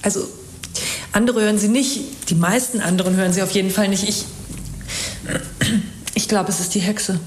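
An actress playing a troubled, earnest radio journalist in a naturalistic radio drama. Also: female voice, medium pitch, normal speed, pauses often, slight background noise, lightly acted. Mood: anxious.

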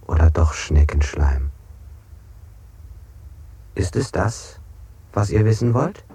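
An actor playing a weary, evasive prisoner under interrogation in an avant-garde radio play, speaking in a halting, questioning way. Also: male voice, deep voice, animated, mellow, a little hiss or hum, heavily acted. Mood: rambling, searching.

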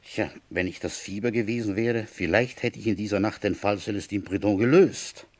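An actor playing a methodical, dryly patient village police commissioner who thinks aloud conversationally in a German radio drama. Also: male voice, fairly low pitch, moderate pace, natural voice. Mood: puzzled, brooding. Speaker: narrator and lead detective in a radio play. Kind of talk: German radio drama, intimate first-person narration.

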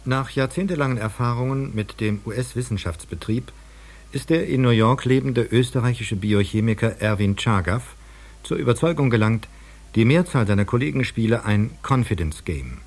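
Man, formal scripted narration, middle pitch, gravelly and husky, measured. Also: expressive. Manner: measured, articulate. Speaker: radio speaker.

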